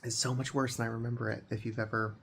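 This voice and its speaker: A man's voice: medium pitch, flat delivery, fast, gravelly, a bit bright, a little hiss or hum. A young man hosting a music channel, chatting casually and rambling with lots of fillers and restarts. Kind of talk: casual talk to camera. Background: metal music playing.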